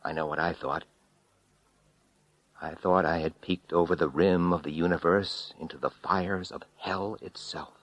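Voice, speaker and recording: A man narrating a first-person horror story as an audiobook reader, theatrical and intense, swinging between hushed and loud delivery. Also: deep voice, steady tone, unhurried, no room sound, lo-fi sound.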